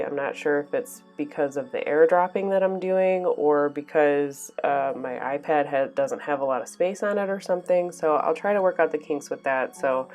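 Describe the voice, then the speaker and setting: Low-voiced woman, nasal from a cold. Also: darkish tone. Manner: chatty, many ums and small laughs. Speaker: art channel host. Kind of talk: casual voice-over while painting.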